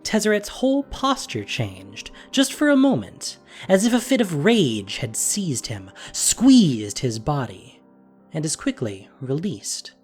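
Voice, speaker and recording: A man narrating a scripted fantasy story in an expressive, dramatic way. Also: on the high side, slow, soft background music.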